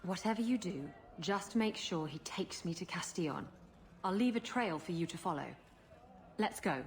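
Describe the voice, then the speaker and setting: An actress playing a brash, roguish pirate swindler in a video game, sassy and cheeky in manner. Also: female voice, fairly low pitch, lively intonation, moderate pace, slight background noise, close to an everyday voice. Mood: purposeful.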